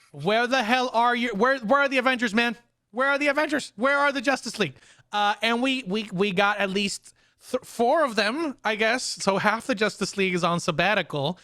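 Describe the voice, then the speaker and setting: Higher-voiced man in excitable podcast chat. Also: no room sound, studio quality. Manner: excitable, slangy fan talk. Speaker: podcast host. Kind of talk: casual pop culture review chat.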